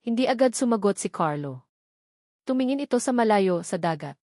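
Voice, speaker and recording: A woman reads a dramatic audiobook story with expressive delivery. Her mid-pitched voice sits in a very dry recording with little room sound. The narration is scripted and clearly shaped as storytelling. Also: moderate pace, no echo.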